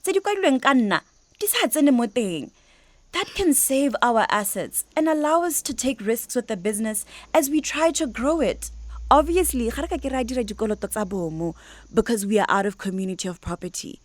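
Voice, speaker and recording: An actress playing a confident young bride-to-be in a radio drama, speaking articulately with a Botswana accent. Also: female voice, on the high side, lively intonation, brisk, no room sound, lightly acted. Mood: earnest, persuasive. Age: young adult. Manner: articulate, explanatory, code-switching. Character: confident young bride-to-be businesswoman, reasoning earnestly.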